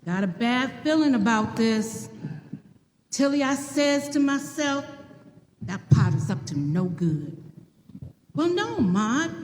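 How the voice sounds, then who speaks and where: Woman playing a gossipy, lively-pitched secretary. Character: chatty, gossipy, wry office secretary. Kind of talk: live radio play acting. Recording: very echoey hall, audible background hiss.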